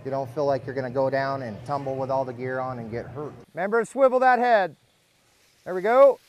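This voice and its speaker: A middle-aged man coaching a beginner outdoors on a snowy slope, relaxed and reassuring. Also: medium pitch, fairly quick. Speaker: ski bike instructor. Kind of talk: on-slope instruction.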